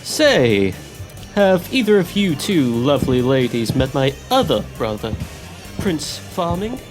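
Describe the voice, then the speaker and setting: A woman's voice: very deep voice, big pitch swings, a little slow, faint music behind, full character voice. A woman playing a suave, flirtatious prince in an audio pantomime. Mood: smooth, flirtatious.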